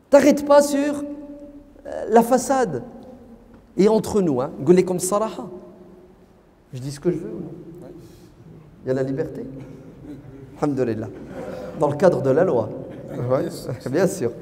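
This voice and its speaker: A high, animated male voice with a mellow tone, preaching to an audience and constantly throwing rhetorical questions back at them. He grew up in France, and his first language is Berber. The sound carries a long reverb from a lecture hall. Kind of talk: lively lecture sermon. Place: lecture hall of an Islamic centre.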